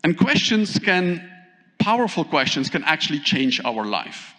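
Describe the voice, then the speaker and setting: Keynote speaker, male, somewhat high voice, engaging and lively, asks the room questions; hall reverb on the mic. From Thailand. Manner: animated, asks the audience questions, pauses often. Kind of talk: conference keynote talk. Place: large conference hall.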